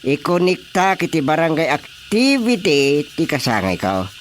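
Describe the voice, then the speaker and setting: Swaggering village boss, Ilocano accent, unhurried delivery with big pitch swings, radio comedy. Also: male voice, wildly swooping pitch, slight background noise. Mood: boastful. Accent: Filipino Ilocano. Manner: pompous, self-important. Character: boastful village chairman planning his grand birthday party.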